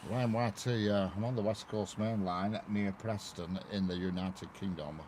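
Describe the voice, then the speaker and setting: A man's voice: fairly low pitch, fairly even intonation, normal speed, darkish tone. A man with a Lancashire accent chatting casually on a live stream, greeting viewers by name and laughing often. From northwest England. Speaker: railway livestreamer. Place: outdoors by a railway line. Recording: noisy outdoor live stream.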